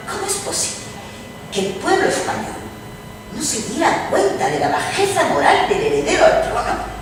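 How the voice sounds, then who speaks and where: Prim, inquisitive lady writer played by a woman, formal but highly inflected, distant in a noisy hall. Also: lightly acted. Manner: formal, carefully phrased. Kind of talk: theatrical radio drama reading. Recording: echoey hall, distant mic, background noise.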